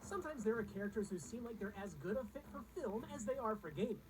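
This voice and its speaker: A woman's voice: on the high side, animated, fast talker, noisy background. A woman reading scripted comedic countdown narration in a sarcastic, snarky manner.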